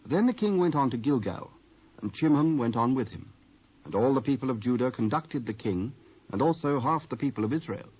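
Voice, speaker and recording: A British man narrating scripture from a script in a formal, measured storytelling manner. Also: mid-range voice, expressive, a little mellow, slightly rough. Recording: muffled old cassette.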